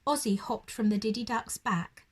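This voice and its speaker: A woman's voice: on the high side, moderate pace, breathy edge. A woman reading a children's bedtime story expressively, like a primary school teacher addressing young children.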